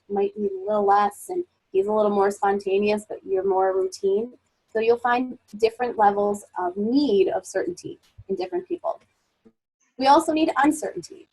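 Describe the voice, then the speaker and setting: A woman coaches her listeners through an online presentation, her voice pitched a little high and slightly husky. She sounds froggy from a cold she is getting over, yet stays bright and encouraging in an upbeat, motivational way. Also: low-quality recording. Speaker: webinar presenter and life coach. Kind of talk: webinar presentation.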